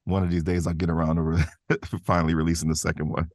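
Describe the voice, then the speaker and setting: Low-voiced man chatting casually. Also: little pitch movement, fast talker, no echo, studio quality. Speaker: interview guest, voice actor and creator. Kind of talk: casual conversational storytelling.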